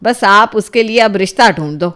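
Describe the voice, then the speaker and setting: A woman voicing a strict middle-aged mother in an audio drama, scolding and threatening in a forceful way. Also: medium pitch, moderate pace, close to an everyday voice. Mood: scheming.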